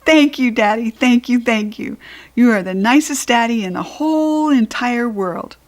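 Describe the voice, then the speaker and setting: A woman voicing an excitable, pleading little girl in a children's storybook, dramatic and stubborn, with emphatic childlike phrasing. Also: fairly high pitch, unhurried, pitched above the performer's own voice. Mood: delighted, grateful.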